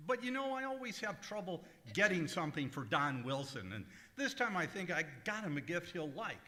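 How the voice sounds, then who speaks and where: A bright, forceful, highish voice rings through a hall as a man acts out a fussy male comedian from 1940s radio. He hems and hesitates, swings up and down in pitch, and sounds easily put out. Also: big pitch swings, exaggerated voice. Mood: puzzled. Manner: hesitant, hemming and drawn-out delivery. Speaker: stage actor in old-time radio revue. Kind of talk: 1940s radio comedy acting before a live audience. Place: large echoey museum room, live stage. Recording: echoey hall recording of stage show.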